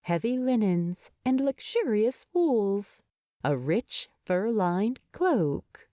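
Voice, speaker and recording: A woman reading a story as an audiobook narrator, expressive, with deliberate phrasing and dramatic pauses. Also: medium pitch, no room sound, studio quality.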